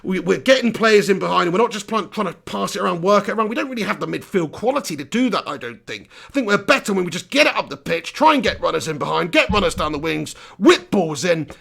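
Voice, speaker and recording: Hoarse, gravelly football fan ranting. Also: male voice, high-pitched, quick pace, hardly any pauses. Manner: animated, exasperated ranting with mild swearing. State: hoarse, losing his voice. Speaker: football fan channel host. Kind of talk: live post-match reaction rant.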